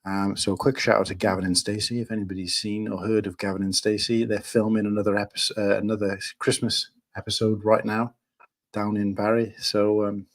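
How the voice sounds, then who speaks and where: A man's voice: on the low side, level tone, a little fast. Hesitant Welshman with a Cardiff accent. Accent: Welsh, Cardiff area. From Wales. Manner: hesitant, many ums and restarts. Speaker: podcast guest. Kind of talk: casual chat.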